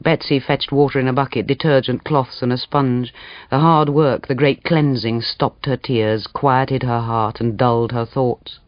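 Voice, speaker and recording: A man reading a literary story aloud as dramatic audiobook narration, measured and expressive. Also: medium pitch, no echo, highs cut off. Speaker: audiobook reader.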